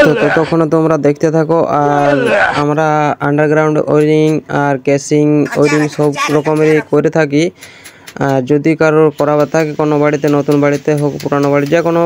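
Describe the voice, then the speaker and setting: A man narrating a casual vlog to viewers as a working electrician, recorded on a phone at a wiring job. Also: fairly high pitch. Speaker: vlogger and electrician. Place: house room under wiring work. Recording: loud power-tool noise behind, phone recording. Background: power tools cutting walls.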